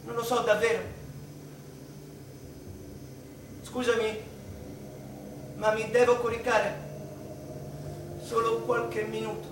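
Very high-voiced tormented mathematician, echoey. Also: male voice, level tone. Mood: sad, weary. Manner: emphatic, declamatory, with deliberate repetitions. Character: tormented, eccentric male mathematician addressing his mother. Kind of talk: theatrical radio drama monologue. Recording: distant echoey room with faint background noise.

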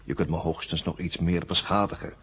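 A man playing a vast, boastful alien machine brain in a science fiction radio drama, speaking in a cold, measured way. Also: deep voice, fairly even intonation, fairly bright tone. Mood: cold menace. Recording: dull, noisy old radio recording.

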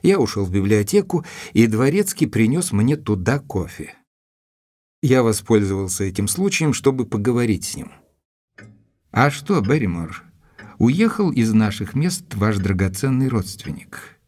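Earnest, probing gentleman doctor for audio drama; low, somewhat rough voice, deliberate and serious. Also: very animated. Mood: measured, reflective. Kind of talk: audio drama narration and dialogue.

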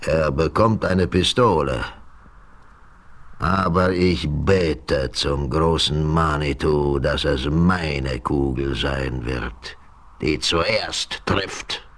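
An actor playing a proud, vengeful chief who speaks in a solemn, accusing way in a radio play. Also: male voice, a bass voice, extremely slow, fairly bright tone, full character voice. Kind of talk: 1970s radio play acting.

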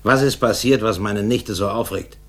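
An actor playing a tough, terse middle-aged ex-boxer who owns a hotel, husky and blunt, in a 1960s German radio crime drama. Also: male voice, fairly low pitch, normal speed, fairly bright tone. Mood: curt, challenging.

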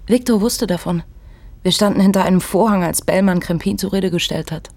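A woman's voice: mid-range voice, brisk, darkish tone, no room sound, lightly acted. Woman acting defensive proud film worker, clipped, dry recording, radio play. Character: guarded, proud film make-up artist.

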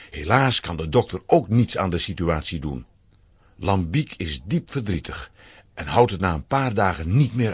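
Animated, husky male storyteller. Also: fairly low pitch, dry recording. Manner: storytelling, dramatic emphasis. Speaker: narrator. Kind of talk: scripted children's story narration.

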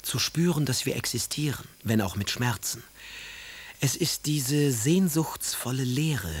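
An actor playing a passionate, melancholic and sardonic Romantic poet in a literary radio drama, with a husky voice. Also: male voice, medium pitch. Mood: brooding, intense. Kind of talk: literary radio drama, reading letters aloud.

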